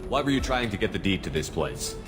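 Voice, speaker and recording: Game's military hero: low voice, measured, level-headed and plain-spoken. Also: male voice, big pitch swings, over music. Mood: calm, questioning. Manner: measured, matter-of-fact. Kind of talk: video game dialogue.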